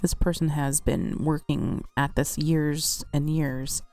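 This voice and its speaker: A woman with a slightly congested, cold-affected voice, telling a personal account in a casual, measured storytelling way. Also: sits low, vocal fry, a little mellow, no echo, faint music behind. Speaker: host narrating a personal account.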